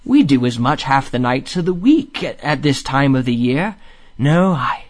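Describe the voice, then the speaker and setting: A man voicing a gentle, earnest mole from a storybook, drowsy and plaintive in manner. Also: medium pitch, very animated, moderate pace, slight background noise, close to an everyday voice. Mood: weary, puzzled.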